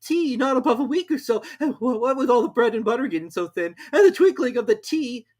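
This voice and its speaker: A woman's voice: on the high side, expressive, fairly quick, a little mellow, pitched above the performer's own voice. A woman voicing a nervous, pleading male hatter in a storybook, wretched and trembling.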